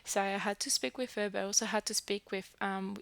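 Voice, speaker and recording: A young woman from New Caledonia talks to her camera in a casual, rambling way, her colouring her speech. Her voice has a gravelly edge, picked up close on a headset mic in a dry, dead room. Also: medium pitch, steady tone, fairly quick, fairly bright tone, no echo. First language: French. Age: 20s. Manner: chatty, frequent fillers and restarts. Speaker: vlogger. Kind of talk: casual self-introduction to camera.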